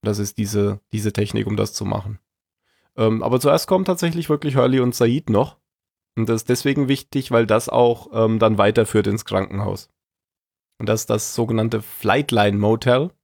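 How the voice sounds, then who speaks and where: A man with a cold co-hosting a casual series recap, chuckling often and pausing on fillers. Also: on the low side, creaky, a little mellow, dry recording, clean studio sound. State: has a cold. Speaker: podcast co-host.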